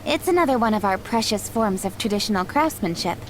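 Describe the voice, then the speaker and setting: An actress voicing a polite, soft-spoken young woman in a game who explains traditions in a measured, formal way. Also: medium pitch, animated, a loud crowd, natural voice. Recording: game music behind.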